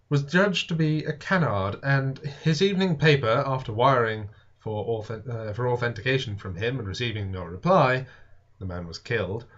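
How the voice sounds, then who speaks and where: Lo-fi muffled sound, male narrator, mid-pitched voice, theatrical storytelling, deliberate emphasis, literary audiobook reading. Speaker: audiobook reader and show host. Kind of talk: dramatic scripted narration of a classic novel.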